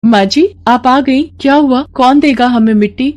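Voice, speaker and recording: A woman voicing an earnest, resourceful young village daughter-in-law, eager and respectful, in an animated story. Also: high voice, unhurried, voice pushed up. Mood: concerned. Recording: noticeable background noise.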